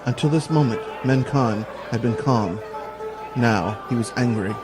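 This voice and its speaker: A man narrating a scripted audio drama in a deliberate, dramatic manner. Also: medium pitch, a loud crowd. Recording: voice under loud music.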